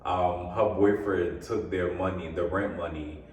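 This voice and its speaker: Deep-voiced man, echoey and distant. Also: unhurried. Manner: animated storyteller, laughs often. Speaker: podcast host. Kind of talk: casual chat. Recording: echoey room from far off, faint noise.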